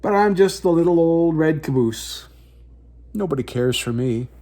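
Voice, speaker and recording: A man plays a little red caboose from a children's storybook, lively in pitch and a touch high. It is wistful and sorry for itself, sighing over its lot, yet plucky underneath. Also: moderate pace, lightly acted. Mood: wistful, glum. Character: small glum self-pitying red train caboose, plucky.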